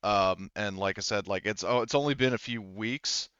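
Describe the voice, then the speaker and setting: A male game master talks casually and ramblingly, cutting into his own sentences. His voice is fairly low and smooth, on a dry but slightly dull recording. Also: moderate pace, a bit bright, no room sound. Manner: rambling, self-interrupting. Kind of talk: casual out-of-character game talk.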